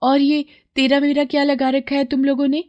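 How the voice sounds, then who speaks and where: A woman acts out a poor young girl in a children's moral tale. The pitch is raised well up. She sounds earnest, sincere and full of affection. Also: monotone, normal speed, a little mellow, highs cut off, close to an everyday voice. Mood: fond chiding. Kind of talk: children's moral story dramatization.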